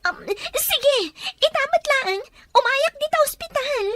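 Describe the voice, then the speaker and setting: A woman playing an alarmed young woman in an audio drama, speaking slowly in shock. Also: very high voice, animated, slight background noise, exaggerated voice. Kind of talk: Ilocano audio drama acting. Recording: loud music bed behind. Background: loud drama music.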